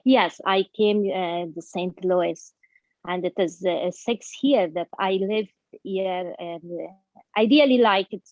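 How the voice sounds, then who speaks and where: A woman's voice: lowish voice, slow, no room sound. A woman from Afghanistan with an Afghan accent, giving a personal account as an interview guest in simple, hesitant phrases. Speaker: guest speaker, refugee. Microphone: video call. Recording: muffled online call audio.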